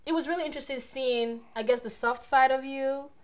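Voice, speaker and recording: A young woman with a slightly high voice hosts a casual interview. She is upbeat and affirming, chiming in with "mm-hmm" often. She sits in a small studio. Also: steady tone, a little fast. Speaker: interview host.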